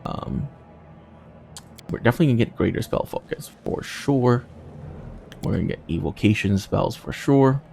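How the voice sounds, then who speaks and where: A man's voice: fairly low pitch, expressive, normal speed, vocal fry, long pauses, dark tone. A man giving casual playthrough commentary on a game, muttering to himself as he decides. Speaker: gaming video host. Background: game music.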